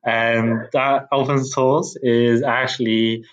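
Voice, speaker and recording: A slightly dull recording carries a man from Bulgaria, a native Bulgarian speaker in his thirties. His voice is medium in pitch. He talks casually as a guest, rambling and hesitating as he goes. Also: flat delivery, takes it slowly. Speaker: podcast guest, tech founder. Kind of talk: casual conversation.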